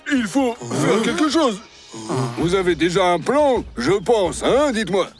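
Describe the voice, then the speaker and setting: Matter-of-fact cartoon dinosaur. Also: male voice, high-pitched, very animated, measured pace, soft background music. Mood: practical. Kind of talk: cartoon dub acting.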